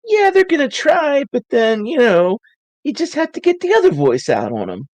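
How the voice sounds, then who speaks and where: A woman voicing Travis, an easygoing male camp counselor who reassures others in a relaxed, soothing way during a tabletop game. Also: high voice, moderate pace, dry recording, exaggerated voice. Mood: reassuring. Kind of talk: tabletop role-play in character.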